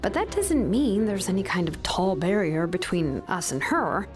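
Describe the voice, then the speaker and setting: Woman playing a friendly, very animated young woman. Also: medium pitch, moderate pace, music in the background, natural voice. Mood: explaining. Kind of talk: video game voice acting.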